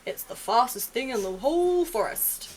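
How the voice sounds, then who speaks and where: A woman's voice: on the high side, big pitch swings, moderate pace, slight background noise. A woman voicing a friendly, adventurous forest animal in a children's storybook, bold and chatty. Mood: proud, friendly.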